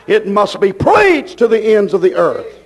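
A man's voice: high voice, very animated, measured pace, breathy edge. A man preaching a fervent sermon, emphatic and declarative, repeating phrases to drive them home.

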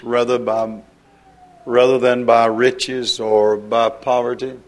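A man giving an informal sermon in plain, folksy speech, slowing down to list things one by one. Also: mid-range voice. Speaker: preacher.

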